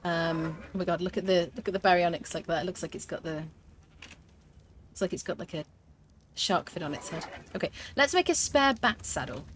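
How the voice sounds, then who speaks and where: Woman streamer, slightly low-pitched, casual talk with plenty of ums, a faint hum underneath. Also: a little fast. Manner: chatty, thinks aloud with fillers, reads and answers chat. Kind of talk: live gameplay commentary.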